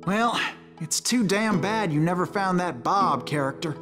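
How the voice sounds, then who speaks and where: A woman's voice: fairly low pitch, animated, a little slow, music in the background. A woman playing a curious, earnest young saloon listener in a Western video game, with an American accent. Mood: curious, disappointed.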